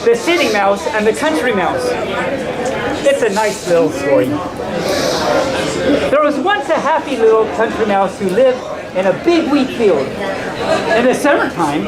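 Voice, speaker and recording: A playful storyteller reads children's bedtime tales aloud with lively swings in pitch. The delivery is live and a little stumbly, with asides, and a noisy crowd fills the large indoor space. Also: measured pace, long pauses. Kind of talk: live children's story reading, told to an audience. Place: large indoor room at a convention. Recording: loud crowd noise in a public room.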